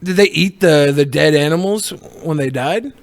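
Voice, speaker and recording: A man with a fairly high voice jokes around on a panel podcast. He riffs in a crude, mock-serious way, as if arguing a real point. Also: moderate pace. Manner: profane, mock-serious riffing. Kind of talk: casual joking panel chat.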